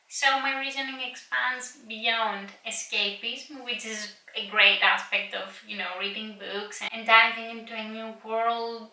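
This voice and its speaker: A woman's voice: fairly high pitch, pushed and bright. A young woman whose first language is Greek, chatting eagerly on her video channel about her books, with a few slips and restarts. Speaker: booktuber. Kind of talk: casual vlog chat. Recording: roomy echo, mic a little far.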